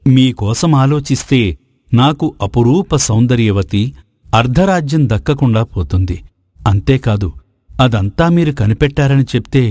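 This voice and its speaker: A man's voice: medium pitch, a little mellow, no room sound, clean studio sound, lightly acted. A man playing a courtly, self-serving ascetic sage. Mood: scheming. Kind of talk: dramatised moral folk tale.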